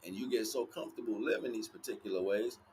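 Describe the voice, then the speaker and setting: A man's voice: on the low side, fast, darkish tone. Street-talking man preaching, noisy background. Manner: casual street slang with frequent swearing; emphatic, repeats phrases. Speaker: livestream spiritual teacher. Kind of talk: rambling motivational spiritual talk.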